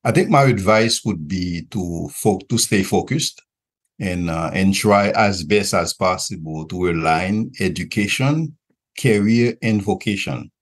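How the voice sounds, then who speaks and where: A Haitian-accented man speaks as an interview guest in a deep, husky voice. He answers conversationally, in short measured phrases with frequent pauses. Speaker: podcast guest, regional director and visiting professor.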